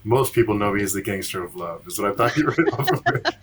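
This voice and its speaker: Male co-host, lower register with a rough, breathy edge, low-key and reflective in casual conversation. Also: animated, fast talker, a bit bright. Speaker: podcast co-host. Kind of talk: casual chat.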